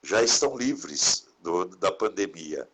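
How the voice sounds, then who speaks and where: A man, a priest talking in a relaxed live conversation. His voice sits low and has a husky edge, yet it comes across bright and forceful. He speaks reflectively, drifting into gentle sermon-like remarks. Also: takes it slowly. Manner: warm, reflective, preachy asides about caring for others. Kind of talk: casual conversation in a live stream.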